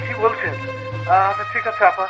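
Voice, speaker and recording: A man's voice: high voice, steady tone, brisk, lo-fi sound, natural voice. A man acting a busy husband in an audio drama. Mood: alarmed, urgent. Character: busy husband, placating at home, later frantic. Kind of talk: Bengali radio drama acting. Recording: music playing behind the voice.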